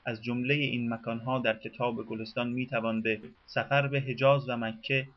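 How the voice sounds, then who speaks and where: A man with a voice of middling pitch reads a scripted documentary narration in a measured, formal way. The studio recording sounds somewhat muffled and lo-fi. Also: little pitch movement. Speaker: radio narrator.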